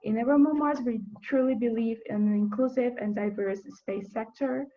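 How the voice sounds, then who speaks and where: A young woman from Brazil, a university student giving an earnest, halting webinar presentation with frequent restarts. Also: a little high, fairly even intonation, muffled recording. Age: young adult.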